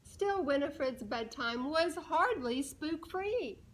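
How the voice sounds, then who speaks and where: Lady with a high voice doing storytime for little kids, animated, takes it slow, asks them stuff. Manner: animated, deliberate, asks listeners questions. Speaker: children's storybook reader. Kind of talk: expressive read-aloud to young children.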